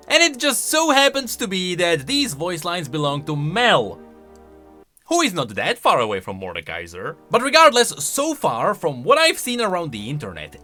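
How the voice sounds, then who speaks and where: A man's voice: sits high, bright, forceful tone. A man giving chatty, casual commentary on video game lore, often asking rhetorical questions with emphatic delivery.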